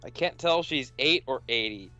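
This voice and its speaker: A man's voice: medium pitch, lively intonation, normal speed, pushed and bright, highs cut off, soft background music. A man co-hosting a let's play, reacting in an exasperated, sarcastic and profane way.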